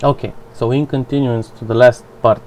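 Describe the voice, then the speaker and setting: A man whose first language is Romanian, giving a blunt, profane, halting commentary for his channel. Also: medium pitch, steady tone, a little mellow, slight background noise. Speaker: vlogger. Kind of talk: rambling personal commentary.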